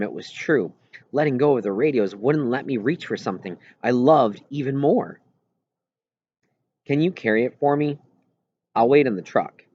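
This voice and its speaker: A man's voice: mid-range voice, a little mellow. A man reading a first-person story aloud as scripted audiobook narration. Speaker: audiobook reader. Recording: roomy echo, slightly dull lo-fi sound.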